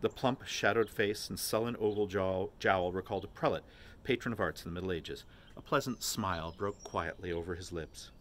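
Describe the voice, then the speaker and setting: A man reading literary prose aloud as an expressive narrator. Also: sits low, moderate pace, a little hiss or hum. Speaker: volunteer reader of a novel.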